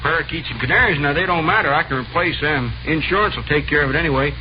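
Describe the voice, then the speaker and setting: An actor playing a cranky, grumbling pet shop owner in 1950s American radio drama, with folksy, emphatic delivery. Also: male voice, fairly high pitch, quick pace, natural voice. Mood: indignant. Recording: noisy, muffled old radio recording.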